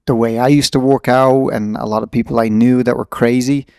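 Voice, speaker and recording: Gravelly middle-aged man, reflective and blunt. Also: medium pitch, steady tone, fairly quick, darkish tone, dry recording, clean studio sound. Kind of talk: casual conversational reflection.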